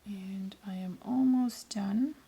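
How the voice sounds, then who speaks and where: A woman with a raspy voice today, giving loose, rambling, self-correcting instructions in a casual watercolour tutorial. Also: mid-range voice, slow, mellow. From Canada. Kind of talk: casual painting tutorial voice-over.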